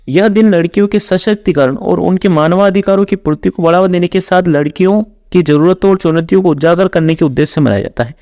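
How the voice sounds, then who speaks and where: Guy coaching for exams, pretty high voice, close dry sound, reads questions then explains answers. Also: darkish tone, no room sound. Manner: instructional, repeats answers and stock transition phrases. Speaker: exam coach, online teacher.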